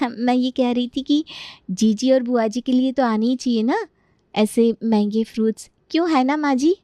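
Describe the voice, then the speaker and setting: Woman playing high-pitched resentful young daughter-in-law. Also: normal speed, warm tone, no room sound, clean studio sound, natural voice. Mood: sarcastic. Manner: sarcastic, aggrieved.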